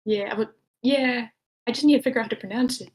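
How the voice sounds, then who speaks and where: A woman's voice: fairly high pitch, fast talker. A woman casually moderating an online meeting, easygoing and reassuring as she hands over to others. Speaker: table-read moderator. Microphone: online meeting call.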